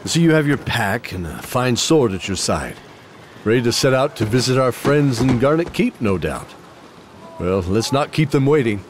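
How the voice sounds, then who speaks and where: A man's voice: medium pitch, sing-song, moderate pace, background noise, natural voice. A man playing a genial fantasy guide who hosts an audio drama, theatrical and folksy, with hesitant asides. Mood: welcoming. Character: genial male guide sending adventurers off. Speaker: host and storyteller. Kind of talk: in-character fantasy audio drama host narration. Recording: music behind the voice.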